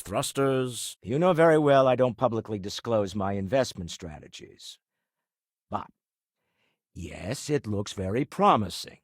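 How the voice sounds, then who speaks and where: An older man playing a wealthy, pragmatic businessman in a video game, speaking in an articulate, wry, measured way. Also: mid-range voice, dry recording, clean studio sound. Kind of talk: video game character dialogue.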